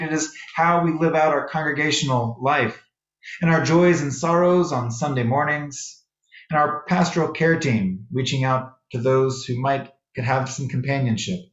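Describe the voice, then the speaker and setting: A male minister with a slightly high voice delivers a sermon in deliberate, measured phrases, pausing between thoughts. The sound is clearly roomy and echoing, as in a worship space, and a little dull on the top end. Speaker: minister preaching to a congregation. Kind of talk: sermon with poetry readings.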